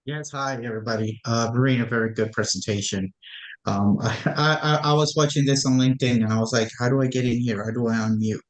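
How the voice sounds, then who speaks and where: A man's voice: mid-range voice, fairly even intonation, fast talker, low-quality recording. A man from Guyana, joining a webinar on a video call to ask a question and stumbling over repeated words. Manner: stammering, repeats words often. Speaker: webinar attendee. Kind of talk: live question from the audience.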